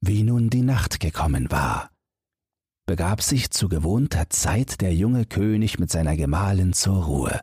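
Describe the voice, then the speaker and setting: A man narrating a fairy tale for bedtime as an audiobook reader, with measured, deliberate phrasing. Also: low-pitched, expressive, darkish tone, husky, no echo, clean studio sound.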